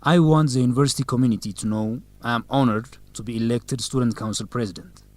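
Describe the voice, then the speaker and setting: A man's voice: medium pitch, fast talker, a little mellow, no echo, close to an everyday voice. A man playing an earnest, formal young student council president in a scripted educational radio drama. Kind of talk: scripted radio drama acting for English learners.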